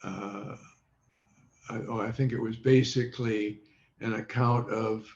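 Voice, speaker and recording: An older man talks as a guest on a video call, very slowly and haltingly, searching for his words. The sound is muffled and quite echoey. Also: fairly low pitch, fairly even intonation, a little mellow. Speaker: interview guest, former quiz show contestant. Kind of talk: interview conversation on a video call.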